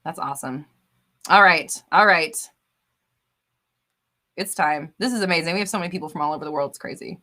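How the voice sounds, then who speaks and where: A woman's voice: low-pitched, brisk, pauses often, bright, forceful tone. A woman hosting a casual live stream, reading viewer comments aloud with a bubbly, laughing manner. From United States. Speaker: livestream host.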